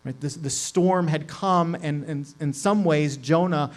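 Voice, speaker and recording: A man's voice: fairly high pitch, a little slow. A middle-aged man preaching a sermon in a casual, conversational way, often asking "right?" and adding "like". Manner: casual, lots of "like" and "right?" asides. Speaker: pastor.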